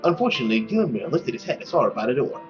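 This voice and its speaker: Theatrical reader with lively pitch over music. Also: very fast, lo-fi sound. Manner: theatrical, playful, stumbles over words. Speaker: let's play narrator reading a visual novel. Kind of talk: dramatic read-aloud with joking asides.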